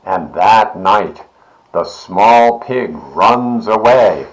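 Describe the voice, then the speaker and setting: A man reading a children's storybook aloud in an expressive, deliberate manner. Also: on the low side, a little hiss or hum, rough recording. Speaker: volunteer story reader, library board member.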